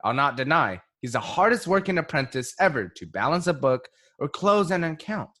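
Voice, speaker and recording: A man's voice: fairly high pitch, big pitch swings, normal speed, sharp tone, heavily acted. A young man as a swooping, pompous proud patriarch. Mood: proud, grand. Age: young adult. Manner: theatrical, pompous announcing. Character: jovial older male employer, proud father, grandly pompous. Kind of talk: radio play acting.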